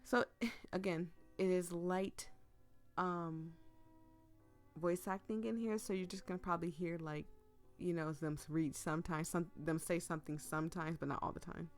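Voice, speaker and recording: A woman's voice: on the low side, fairly even intonation, moderate pace, faint music behind. A woman who is losing her voice to a cold, reading a visual novel aloud and chatting casually as she plays. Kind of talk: casual let's-play narration with read-aloud dialogue. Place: bedroom, propped up in bed.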